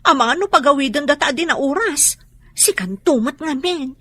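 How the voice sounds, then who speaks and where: A cautious young Filipino confidante in a radio drama. Her voice sits a little high and sounds earnest and concerned as she questions. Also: animated, moderate pace, bright, forceful tone. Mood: anxious warning.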